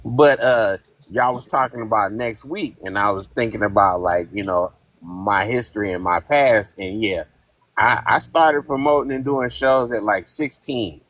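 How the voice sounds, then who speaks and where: A man's voice: on the low side, measured pace, a bit bright, no room sound. A man in his fifties from Northern California, calling into a casual podcast chat and talking bluntly, with heated, profane storytelling. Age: 50s. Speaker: live-stream caller.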